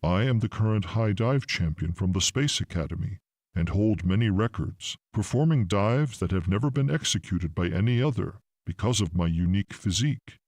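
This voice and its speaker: A proud space-age diving champion, as a male reader plays him in an audiobook. The voice is low and boastful, recorded very dry and close. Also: expressive, moderate pace, darkish tone, no room sound. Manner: boastful, self-promoting. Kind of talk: audiobook character voice.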